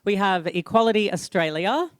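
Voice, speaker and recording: Playful forum host, smooth clear voice. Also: mid-range voice, lively intonation, normal speed. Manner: playful, self-mocking. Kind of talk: hosting a live forum. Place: stage in a hall.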